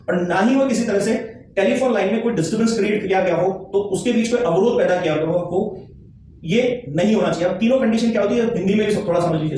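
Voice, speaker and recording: A man's voice: sits high, darkish tone. A man giving an explanatory legal lesson, emphatic and repeating his key points. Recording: very echoey, distant mic, faint noise.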